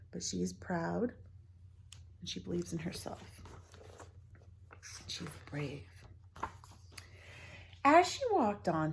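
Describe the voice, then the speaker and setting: Husky-voiced woman reading a picture book aloud. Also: fairly low pitch. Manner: expressive, with whispered asides. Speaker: teacher reading to children.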